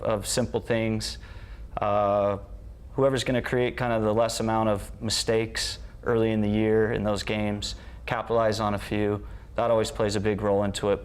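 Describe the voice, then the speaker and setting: A man's voice: on the low side, smooth. A man speaking as a coach in a conversational interview. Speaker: soccer coach.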